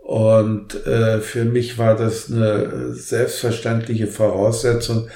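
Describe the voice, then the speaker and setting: An older man from Germany in his seventies or above, speaking reflectively and haltingly in an interview. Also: on the low side, little pitch movement, warm tone, slight background noise. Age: older, over 70. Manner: halting, searching for words. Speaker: interview guest. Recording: roomy, echoing interview sound.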